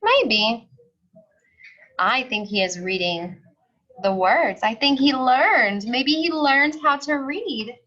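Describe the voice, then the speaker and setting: A woman reading a storybook aloud to young children, expressive and singsong, pausing to explain and ask questions. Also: high voice, lo-fi sound.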